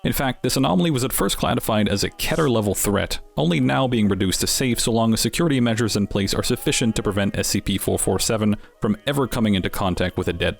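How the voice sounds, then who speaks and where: A man's voice: fairly low pitch, vocal fry. A man giving scripted narration as the host of an explainer series, with wry, dryly humorous asides.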